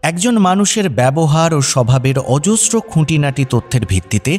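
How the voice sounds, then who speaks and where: A man narrating a scripted radio story in a wry, expressive way. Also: on the high side, normal speed, faint music behind.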